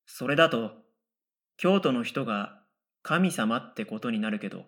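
A man playing an earnest, deadpan middle school boy in a radio drama, matter-of-fact and given to dry retorts. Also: medium pitch, measured pace. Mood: dry retorting. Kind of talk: radio drama first-person narration and dialogue.

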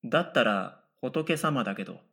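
A man playing an earnest, deadpan middle school boy in a radio drama, matter-of-fact and given to dry retorts. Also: medium pitch, moderate pace, creaky, husky, full character voice. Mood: dry retorting. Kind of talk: radio drama first-person narration and dialogue.

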